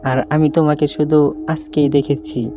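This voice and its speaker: A man's voice: a little high, level tone, unhurried, over music, natural voice. A man playing a young night-school student, brave but uneasy, in a horror cartoon. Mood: curious, puzzled. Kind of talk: cartoon horror story dialogue.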